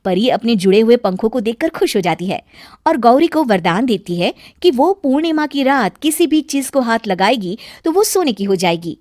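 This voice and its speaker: High-pitched woman narrating a children's story. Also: very animated, normal speed, darkish tone, no room sound, studio quality.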